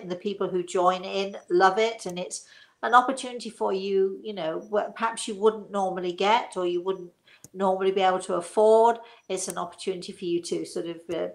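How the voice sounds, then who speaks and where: A woman's voice: fairly low pitch, gravelly, poor audio. A woman in her sixties chatting casually as host of her own show, rambling and effusive as she drifts off on tangents. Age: 60s. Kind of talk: casual chat. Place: living room, indoors.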